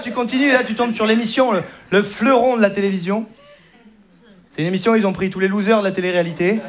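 High-voiced man doing stand-up over crowd laughter. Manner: quick, mocking, slangy, acts out characters. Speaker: stand-up comedian. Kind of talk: stand-up comedy set. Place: comedy club stage with audience. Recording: live club with loud crowd laughter.

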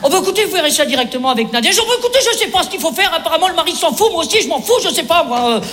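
A woman's voice: extremely high pitch, lively intonation, very fast, a bit bright. A woman playing a flippant, absurd character who rambles through surgical instructions offhandedly in a comedy sketch. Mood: flippant, excited.

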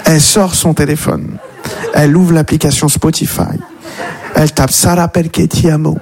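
A man's voice: a little high, level tone, normal speed, gravelly, a little mellow. A man doing stand-up, telling a comic story to an audience. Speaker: stand-up comedian. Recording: live stage recording with crowd noise. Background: audience crowd.

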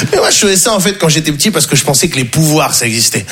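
A man's voice: expressive, fast, fairly bright tone. Young man doing stand-up, jokey. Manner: playful, jumps into high squeaky voices for quoted people. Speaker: stand-up comedian. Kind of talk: stand-up comedy set. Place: comedy stage before a live audience.

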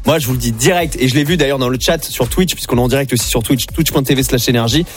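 A man's voice: mid-range voice, fast talker. A man hosting a live radio show, bantering casually in a playful, teasing, slangy way. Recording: music bed under the voice. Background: radio show music.

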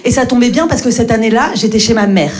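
A woman's voice: on the high side, lively intonation, fast. A young woman telling stand-up stories and mimicking her parents. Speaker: stand-up comedian. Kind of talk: stand-up comedy set. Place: comedy club stage.

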